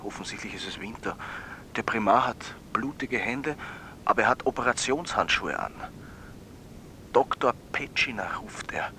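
A man's voice: fairly low pitch, animated, normal speed, sharp tone, a little hiss or hum. A male actor playing an earnest, insistent young medical student with a Viennese accent, telling a story in a literal way. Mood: earnest storytelling. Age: young adult. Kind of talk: radio drama acting, dream storytelling.

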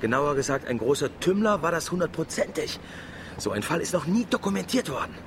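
An actor playing an assured, coaxing uncle and amateur marine researcher in a German radio drama. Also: male voice, a little high, very animated, fairly quick, background noise. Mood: excited.

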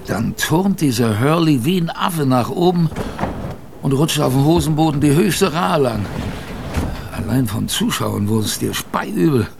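A man's voice: on the high side, expressive, unhurried, gravelly, noisy background. An actor playing a former ship's kitchen boy who reminisces in a colloquial, confiding way as a radio drama narrator. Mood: reminiscing. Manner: colloquial, vivid, confiding storytelling.

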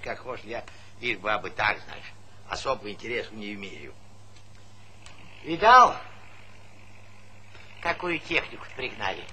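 An actor playing a talkative, teasing old village pensioner with folksy speech in a stage comedy. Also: male voice, medium pitch. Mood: nosy, sly. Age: older. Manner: garrulous, folksy, needling, chuckling interjections. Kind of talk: Soviet stage comedy acting. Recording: noisy 1970s theatre recording.

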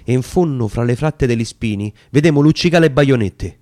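A man's voice: mid-range voice, animated, normal speed, a little mellow, no room sound, studio quality. A man with a Roman accent giving an emphatic, theatrical radio recitation of a dialect verse story. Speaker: reader of dramatic verse.

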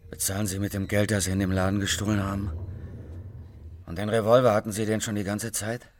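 A man's voice: lowish voice, flat delivery, quick pace, faint music behind, lightly acted. An actor playing a wary, blunt small-town man in a crime radio play, speaking dryly and naturally. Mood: blunt, knowing. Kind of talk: naturalistic radio drama dialogue.

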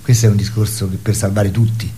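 A man arguing his opinions in an impassioned, polemical conversation, with halting, repetitive phrasing. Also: on the low side, flat delivery, warm tone, a little hiss or hum.

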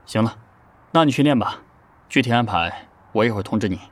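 A man's voice: on the low side, lively intonation, brisk. A man playing a matter-of-fact, kindly idol group manager in a Chinese audio drama, businesslike and easygoing. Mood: easygoing, instructive.

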